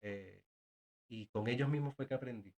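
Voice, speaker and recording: A man's voice: medium pitch, moderate pace, dark tone, highs cut off. A man who works as a sign language interpreter and teacher of deaf students, a guest in a casual conversation, speaking earnestly with frequent hesitations and repeated words.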